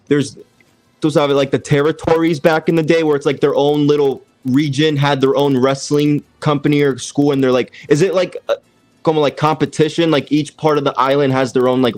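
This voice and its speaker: A man's voice: fairly high pitch, fairly even intonation, moderate pace, darkish tone, dry recording. A man co-hosting a podcast, asking long rambling questions and mixing in Spanish words. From Puerto Rican heritage. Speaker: podcast co-host. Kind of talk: casual interview questions.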